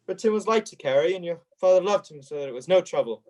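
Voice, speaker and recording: A man's voice: high-pitched, lively intonation, quick pace, darkish tone. A man playing a tender old grandfather in a radio play, fond and sorrowful. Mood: wistful.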